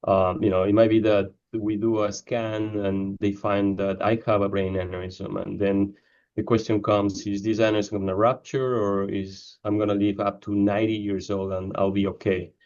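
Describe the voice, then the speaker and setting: A man from Ecuador speaks in a low, flat voice, pausing often with ums and uhs as he explains his work. The sound is dull and lo-fi, like a video call. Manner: hesitant, many fillers. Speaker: neurosurgeon researcher. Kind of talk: interview answers.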